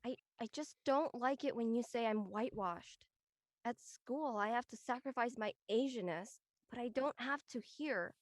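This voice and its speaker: Woman playing earnest young college friend in an online drama; slightly high, conversational voice, close and dry. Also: normal speed, no echo, natural voice. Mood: hurt, earnest.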